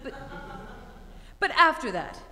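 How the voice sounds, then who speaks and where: A woman playing a haughty, sharp-tongued young society bride in a staged vintage radio comedy. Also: high voice, sing-song, slow pace, heavily acted. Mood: withering. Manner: imperious, indignant, withering. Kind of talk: staged 1940s-style radio comedy acting. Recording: echoey theatre hall recording.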